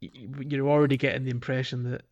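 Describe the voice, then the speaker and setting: A man's voice: medium pitch, flat delivery, fast, muffled recording. A man co-hosting a podcast, chatting casually about a video game in a hesitant, rambling way. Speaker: podcast co-host.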